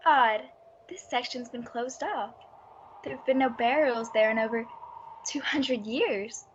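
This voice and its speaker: A woman's voice: a little high, a little slow, natural voice. A woman playing an anxious townswoman in an old-time radio drama. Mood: uneasy. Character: anxious townswoman on a night walk. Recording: dull lo-fi audio, background ambience noise.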